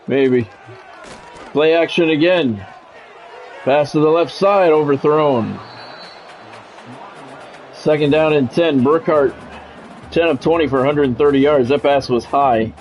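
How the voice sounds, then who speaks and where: A man's voice: a little high, slow, pauses often, muffled recording, faint music behind. A man doing football play-by-play for a video game stream in a conversational, blunt way. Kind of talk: football play-by-play commentary with casual reactions.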